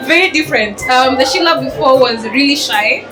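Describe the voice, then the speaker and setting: A young Kenyan woman in her twenties, a music student answering interview questions earnestly, pausing often with ums as she thinks. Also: high voice, background noise. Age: 20s. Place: concert venue. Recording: echoey venue with loud music.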